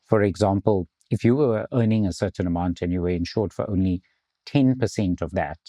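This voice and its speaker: A man from South Africa, an insurance executive, explaining finance in a measured way in an interview. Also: sits low, mellow, no room sound. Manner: measured, explanatory, some restarts.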